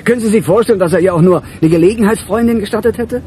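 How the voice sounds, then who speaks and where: Mellow, sardonic police chief played by a man. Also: high-pitched, racing, slight background noise, close to an everyday voice. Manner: dry, musing, sardonic. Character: weary, sardonic male police chief, middle-aged. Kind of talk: radio crime drama acting.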